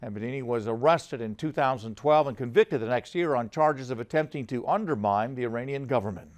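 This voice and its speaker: A man's voice: mid-range voice, fairly quick. A man anchoring a television newscast, reading scripted stories in a formal news delivery.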